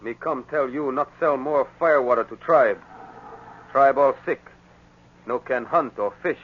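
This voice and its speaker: A man's voice: medium pitch, measured pace, breathy edge. An actor playing an earnest, stubborn young man, a chief's son, who speaks terse broken English in 1940s radio style. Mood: earnest, protesting. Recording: narrow, phone-like, noisy 1940s radio recording.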